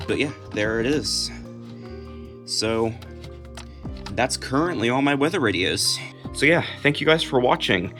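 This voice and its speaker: An American man narrates a collection in a casual show-and-tell way. His delivery is unscripted and halting, often trailing off mid-thought. His pitch sits in an ordinary male range, with some music behind him. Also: animated. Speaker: video creator showing a collection.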